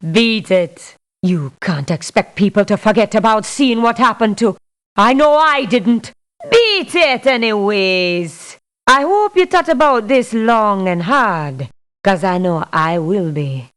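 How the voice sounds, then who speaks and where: A tough female gun for hire in a strategy game. Her voice is smooth and resonant, and she delivers blunt, firm lines at an unhurried, measured pace. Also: a little high. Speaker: mercenary character in a strategy game. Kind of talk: video game voice clips, scripted refusals and barks.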